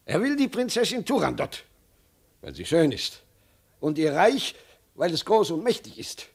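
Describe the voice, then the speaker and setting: An actor playing an old emperor and father in a 1950s German radio play, halting, indignant and pious. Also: fairly high pitch, sing-song, vocal fry, husky, heavily acted. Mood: uneasy, halting. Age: older.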